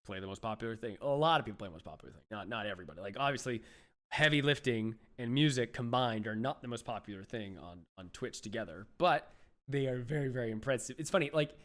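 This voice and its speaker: A young adult man hosting a podcast, chatting loosely, with lots of restarts and fillers and his voice often trailing off quietly. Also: medium pitch, lively intonation, quick pace. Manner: rambling, many restarts and "like", drops to quiet mumbles. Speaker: podcast host. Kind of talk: casual interview chat.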